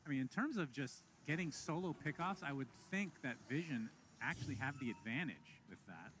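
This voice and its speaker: A man's voice: mid-range voice, expressive, a little hiss or hum. A man giving play-by-play esports commentary, bantering and laughing with his co-caster. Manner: quick banter, laughs often. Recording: commentary over loud game music.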